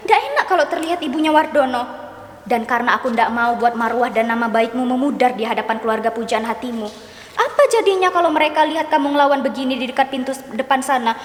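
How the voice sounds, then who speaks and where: A woman reading the part of an older sister who scolds her younger sibling in a measured, mocking tone. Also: sits high. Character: older sister, calm but needling and scolding. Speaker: dramatic reader. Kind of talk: dramatic reading of a stage script. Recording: echoey hall with faint noise.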